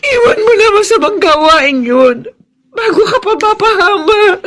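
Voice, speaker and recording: An actress with a Filipino accent playing a gentle, principled, worried wife in an emotional radio drama. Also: female voice, extremely high pitch, expressive, unhurried, full character voice. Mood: distressed pleading.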